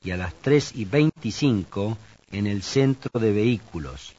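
A man reading scripted sentences for a language course, slow and clear. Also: on the low side, lively intonation, a little mellow. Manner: slow, clear read-aloud delivery. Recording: dull lo-fi tape audio.

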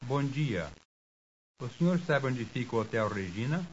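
A man's voice: medium pitch, expressive, natural voice. A man playing a courteous foreign visitor, muffled hissy tape. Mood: polite. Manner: deliberate, clearly enunciated, courteous. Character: polite American man asking for directions. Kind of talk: scripted language-lesson dialogue, slow and careful.

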